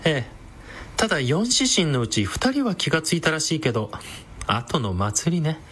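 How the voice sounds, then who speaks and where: An actress playing a brash, sardonic villainous woman with a sharp-tongued, theatrical manner in a comedic radio drama. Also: low-pitched, very animated, normal speed, a little hiss or hum. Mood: grave, explanatory.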